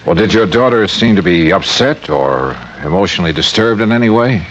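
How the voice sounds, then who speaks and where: An actor playing a cool, probing insurance investigator who questions politely and narrates in an old-time radio drama. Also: male voice, on the low side, expressive, a little fast, a little hiss or hum, lightly acted. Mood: courteous probing. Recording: dull old-time radio recording with music.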